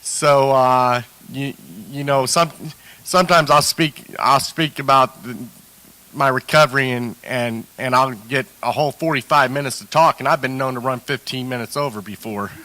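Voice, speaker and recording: A man's voice: medium pitch, normal speed, sharp tone, no echo, poor audio. A man on a recovery panel, talking candidly about his struggles with many restarts and fillers. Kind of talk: candid personal testimony.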